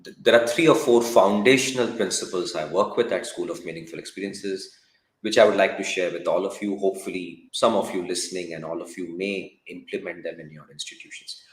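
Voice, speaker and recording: A man explaining his principles as a school founder on a panel, with emphatic, deliberate phrasing. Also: low voice. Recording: roomy echoing sound.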